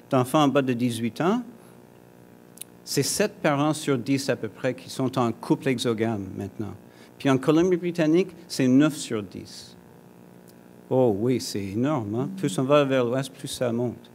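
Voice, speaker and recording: A mid-pitched man from Alberta, English his first language, addresses a conference hall. He talks conversationally, tripping over words and restarting, dropping in joking asides to the audience. A faint hum sits under his voice. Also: measured pace, a little mellow. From Alberta, Canada. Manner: hesitant, frequent restarts and fillers. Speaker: conference speaker. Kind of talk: conference talk.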